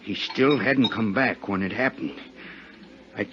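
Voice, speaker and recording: An actor playing a frightened, hard-drinking seaman with rough, uneducated sailor's speech in a 1950s American radio drama. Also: on the low side, a little slow, background noise, lightly acted. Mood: confiding, uneasy. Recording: muffled 1950s radio recording.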